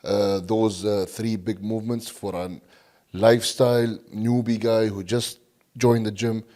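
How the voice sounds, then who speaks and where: A man whose first language is Arabic, a bodybuilding coach talking as a podcast guest, halting with many fillers. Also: on the low side, little pitch movement, warm tone. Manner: hesitant, many fillers and restarts. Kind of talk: casual podcast interview.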